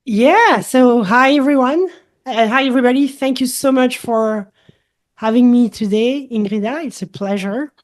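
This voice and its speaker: Woman, French accent, middle-aged, somewhat high voice, interview guest, explanatory, reflective. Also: normal speed. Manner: thoughtful, explanatory, repeats key phrases. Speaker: guest holistic coach. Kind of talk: casual interview chat.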